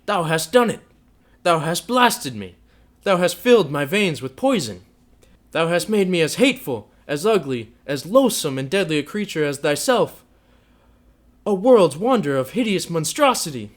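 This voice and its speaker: A man voicing a bitter, scornful young man in a story, declaiming loudly with rage. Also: on the high side, big pitch swings, moderate pace, pitched above the performer's own voice. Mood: raging. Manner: loud, declamatory, raging.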